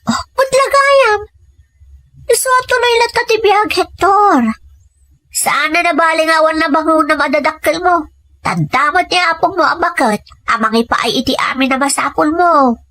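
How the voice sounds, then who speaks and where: A woman's voice: very high-pitched, normal speed, sharp tone, dry recording, poor audio. A woman playing a young, cheerful, encouraging friend in an Ilocano-accented audio drama. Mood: lively, encouraging.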